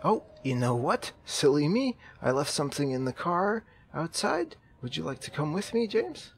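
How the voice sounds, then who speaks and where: A man's voice: fairly high pitch, big pitch swings, moderate pace, gravelly, slightly rough, exaggerated voice. A man voicing a timid, polite, falsely cheery character, stammering with fussy, prim nervousness in an improvised game roleplay. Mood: nervous, falsely cheery.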